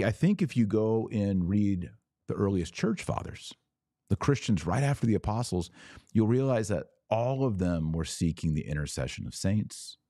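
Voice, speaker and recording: Very deep-voiced man, emphatic religious podcaster. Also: dark tone, no room sound, clean studio sound. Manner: emphatic, argumentative, speaks in short declarative phrases. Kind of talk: live apologetics talk answering viewer comments.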